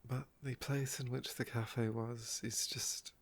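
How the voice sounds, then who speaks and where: A man speaks softly at a middling pitch, with heavy creak and a croaky edge. He rambles casually in an ASMR style, unhurried and a little wistful as he tells personal stories. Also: flat delivery. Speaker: ASMR video creator.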